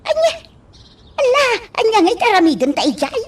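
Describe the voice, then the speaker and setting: A woman acting as an excitable, cheeky but deferential helper talking to her boss in an Ilocano radio drama. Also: very high voice, a little fast, a little hiss or hum. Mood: incredulous.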